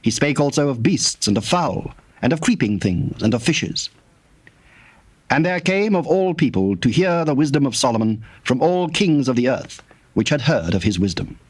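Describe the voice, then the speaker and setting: A man narrating scripture from a script in a formal, measured manner. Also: mid-range voice, very animated, a little mellow, slightly rough.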